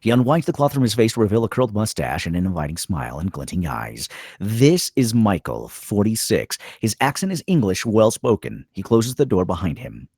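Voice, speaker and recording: A man reads screenplay directions as a dramatic narrator. His voice is very deep and husky, swinging widely in pitch, in a dry recording. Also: sing-song, brisk, few pauses, darkish tone, no room sound.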